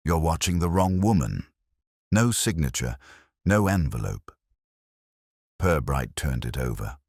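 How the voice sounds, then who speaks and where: A man narrates a scripted mystery in a very low register. His delivery moves expressively up and down, with a smooth, resonant tone and no room sound. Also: dry recording, clean studio sound. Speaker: narrator and audiobook-style reader.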